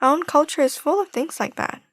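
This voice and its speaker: A confident young singer played by a woman chats in a high voice, recorded dry and close. She is talkative and sure of herself, in the manner of audio drama dialogue. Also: a little fast, no echo, close to an everyday voice. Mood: animated, chatty. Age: young adult.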